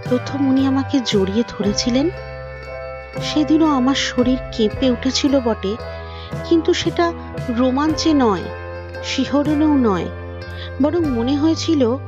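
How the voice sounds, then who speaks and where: Slow, high-voiced tender wife telling her story, acted by a woman. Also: highs cut off. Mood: reflective, wistful. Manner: slow, reflective, intimate storytelling. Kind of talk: dramatised audio story narration in first person. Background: prominent music.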